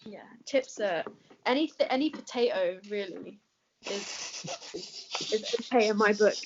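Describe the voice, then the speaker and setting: A woman's voice: mid-range voice, measured pace, noisy background. A woman with an English accent answering questions in a casual chat, talking with plenty of fillers and laughing easily. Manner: chatty, laughs often, many fillers. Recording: dull lo-fi recording.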